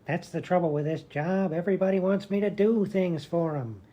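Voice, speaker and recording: A woman's voice: fairly low pitch, normal speed, warm tone, lightly acted. A woman playing a crabby, self-pitying old male hospital grump in a comedy radio sketch, blunt and dismissive. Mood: grumpy, dismissive. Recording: dull, muffled audio.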